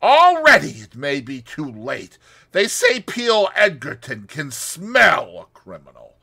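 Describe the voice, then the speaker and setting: Man doing a frantic high-strung Russian conspirator. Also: huge pitch swings, slow, gravelly, exaggerated voice. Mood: agitated. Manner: loud, high, strained pleading and snarling. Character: excitable Russian male conspirator, bitter and agitated.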